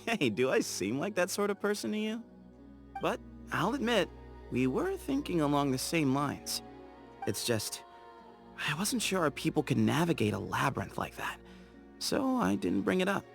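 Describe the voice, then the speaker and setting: Game character, relaxed, playful young adventurer; bouncy delivery, slightly raised pitch. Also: male voice, moderate pace, vocal fry, soft background music. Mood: easygoing. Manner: relaxed, chatty, teasing, laughs easily. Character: easygoing, playful young desert explorer man.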